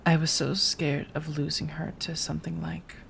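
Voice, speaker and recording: A woman voicing a remorseful, possessive female vampire in an ASMR roleplay monologue, speaking in measured, halting, formal phrases with sighs. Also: sits low, level tone, vocal fry, a little hiss or hum. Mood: grieving, remorseful.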